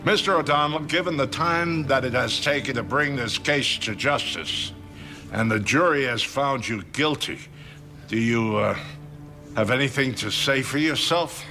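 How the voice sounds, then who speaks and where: A man's voice: mid-range voice, measured pace, natural voice. A man playing a stern trial judge in an audio drama, speaking formally and with measure. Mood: formal, solemn. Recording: music behind the voice.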